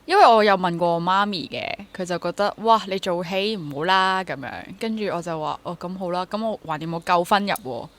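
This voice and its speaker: Female interview guest, twenties, lowish voice, Hong Kong Cantonese accent, chatty and candid. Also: a little fast, few pauses, a bit bright. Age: 20s. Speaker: guest, stage actor. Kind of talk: casual interview chat.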